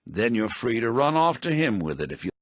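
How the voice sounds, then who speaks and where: A sly, theatrical fantasy schemer. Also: male voice, very animated, a little fast, dry recording, studio quality. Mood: smug, firm. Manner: theatrical, condescending. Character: sly, smug, self-serving male merchant and schemer. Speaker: video game voice actor. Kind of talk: fantasy role-playing game dialogue. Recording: low-bitrate game audio rip.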